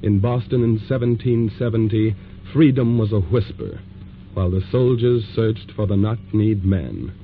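An actor playing a grave, dramatic storyteller narrating in 1940s radio drama style. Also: male voice, lowish voice, moderate pace, slight background noise, close to an everyday voice. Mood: grave, portentous. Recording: muffled vintage radio recording.

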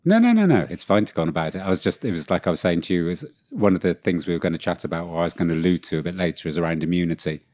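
Deep voiced guy with a cold, dry jokey podcast chat, close dry sound. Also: lively intonation, very fast, few pauses, darkish tone, no room sound. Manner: dry, self-deprecating humor. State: has a cold. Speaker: podcast co-host. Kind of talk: casual chat. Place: room with co-host far off.

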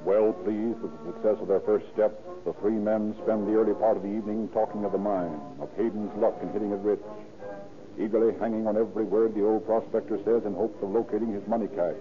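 A man's voice: lowish voice, steady tone, brisk. A man narrating a scripted crime drama in 1940s radio style. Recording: tinny old radio broadcast. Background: prominent music.

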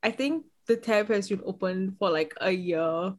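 A woman's voice: medium pitch, a little slow, darkish tone. A young woman from Singapore, hosting a podcast in casual, giggly chat full of fillers. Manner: chatty, laughs often, lots of "like" fillers. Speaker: podcast host.

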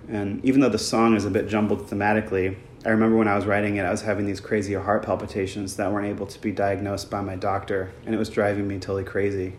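A man, a musician, informally explaining one of his songs in a recorded piece. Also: fairly low pitch. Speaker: musician introducing his song. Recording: roomy sound with faint noise.

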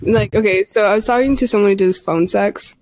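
A woman's voice: mid-range voice, brisk, darkish tone. A young woman chatting frankly on a podcast, full of fillers and laughs. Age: young adult. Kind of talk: casual podcast chat.

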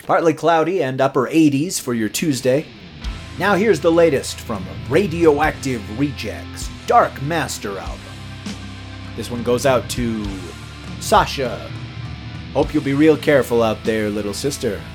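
A man's voice: medium pitch, animated, measured pace, music in the background, lightly acted. A young man playing an eager, smitten rookie late-night radio DJ in an audio drama, with a casual, slangy manner. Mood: upbeat on-air patter.

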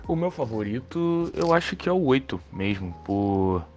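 A Brazilian-accented man chatting casually and haltingly as a podcast panelist. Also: mid-range voice, big pitch swings, muffled recording, faint music behind. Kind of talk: casual chat.

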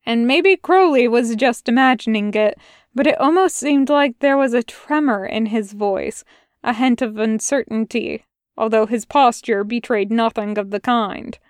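A woman narrating an audiobook of fan fiction in a measured, unhurried way. Her voice sits somewhat high and is smooth and clear, with a dry, close sound. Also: no room sound. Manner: slow, measured reading. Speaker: audiobook reader.

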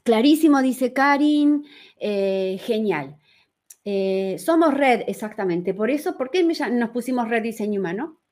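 A woman's voice: a little high, expressive, unhurried, a little mellow. A woman with a Uruguayan accent teaching in a conversational live talk, repeating phrases for emphasis and often checking in with her listeners. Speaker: human design teacher.

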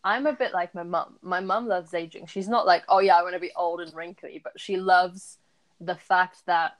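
Lively young woman telling a story. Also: fairly low pitch, normal speed. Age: 20s. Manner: animated storyteller, laughs often. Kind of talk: casual chat.

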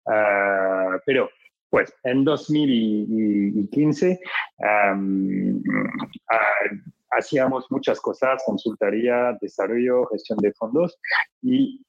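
Slow halting man with a French accent. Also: fairly low pitch, lo-fi sound. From France. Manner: halting, many fillers and restarts. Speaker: startup cofounder guest. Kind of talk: interview answer.

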